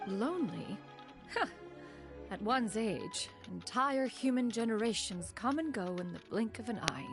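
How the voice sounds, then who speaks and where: A woman's voice: a little high, lively intonation, a little slow, music in the background. A woman voicing an ancient, proud female crane spirit in a game cutscene, speaking in an archaic, formal way and calling herself "one". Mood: lofty.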